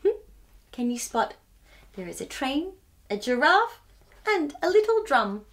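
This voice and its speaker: A high-pitched woman in her thirties presents stories for little children in an expressive, sing-song manner. Her delivery is unhurried and swoops up and down. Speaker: children's storytime host. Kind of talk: read-aloud storytelling and chatty children's presenting. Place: small furnished living room, indoors.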